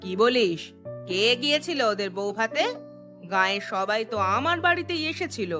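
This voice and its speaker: A woman's voice: fairly high pitch, animated, normal speed, bright, forceful tone, soft background music. A woman voicing a vindictive, commanding, wealthy village matriarch in a cartoon, with a scornful manner. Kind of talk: Bengali cartoon dialogue.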